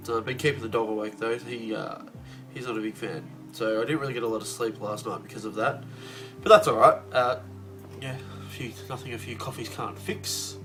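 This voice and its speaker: Young guy, kinda sniffly, chatty art vlog, mid-pitch, bit of music behind. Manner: relaxed, rambling, many fillers. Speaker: comic artist vlogger. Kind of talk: casual video diary.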